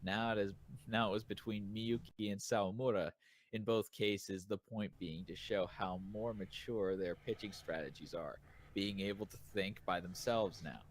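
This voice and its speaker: A man co-hosting a casual podcast, reading listener comments aloud haltingly and rephrasing as he goes. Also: fairly low pitch, fairly even intonation. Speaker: podcast co-host reviewing manga.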